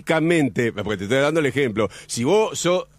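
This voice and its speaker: A man's voice: mid-range voice, animated, a little fast, dry recording. A man with an Argentine Spanish accent arguing football rules on a sports talk show, insistent, repeating his points, with many hesitations. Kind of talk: heated football debate.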